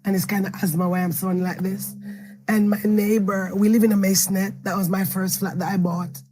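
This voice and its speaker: A hoarse woman author with a Jamaican accent, telling long, rambling stories and correcting herself as she answers questions. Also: medium pitch, flat delivery, a little fast, over music. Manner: rambling, self-correcting storytelling. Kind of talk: interview answer at a book event.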